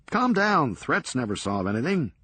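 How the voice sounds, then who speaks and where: A man's voice: mid-range voice, very animated, sharp tone, husky, pitched above the performer's own voice, exaggerated voice. A man doing a breezy, unflappable ringleader. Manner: brisk, breezy, unbothered. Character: unflappable, cheerfully reckless male ringleader.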